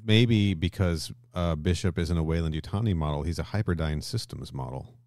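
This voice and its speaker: A man's voice: sits low, normal speed, a little mellow, no room sound, studio quality. A man co-hosting a podcast in a casual, jokey, bantering conversational style. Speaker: podcast host. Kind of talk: casual film and comic discussion chat.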